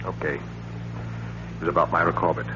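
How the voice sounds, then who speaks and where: An actor playing a nervous, eager-to-please husband with a hesitant manner in a 1940s radio drama. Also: male voice, deep voice, little pitch movement, measured pace. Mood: uneasy, affectionate. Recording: muffled, noisy 1940s radio recording.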